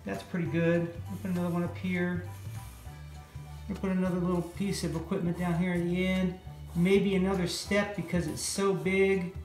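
A man's voice: high voice, flat delivery, normal speed, darkish tone. A middle-aged man with an American accent gives a casual, playful, folksy step-by-step drawing lesson for children. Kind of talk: casual step-by-step art demonstration. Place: indoor room, at a drawing board. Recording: roomy echo with music underneath.

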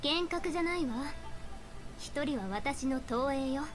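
High-voiced, commanding young seer. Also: female voice, a little slow. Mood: matter-of-fact. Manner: authoritative, formal, explanatory. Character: small, self-assured young female seer, commanding and composed. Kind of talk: anime game dialogue. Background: game music.

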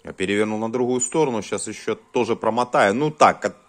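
A man giving casual hands-on commentary as a video host, talking haltingly in short muttered phrases. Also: fairly low pitch, a bit bright. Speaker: host of a cassette deck test vlog.

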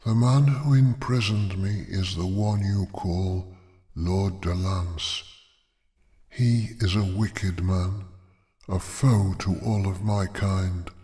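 A man voicing an ancient, imprisoned female dragon goddess who speaks slowly and with command. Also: low voice, fairly even intonation, dark tone. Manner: slow, measured, otherworldly.

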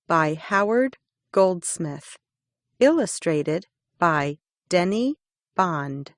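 A woman reading a children's picture book aloud, slow and expressive. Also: medium pitch, no echo, studio quality. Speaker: reader.

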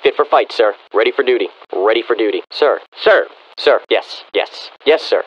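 An actor playing a disciplined American infantry soldier in a military video game, barking clipped, forceful reports to his commander. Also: mid-range voice, normal speed, breathy edge, muffled recording, natural voice. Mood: alert, forceful.

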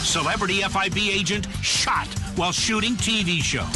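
An actor playing a brash male news anchor reading a dramatic scripted bulletin. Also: sits high.

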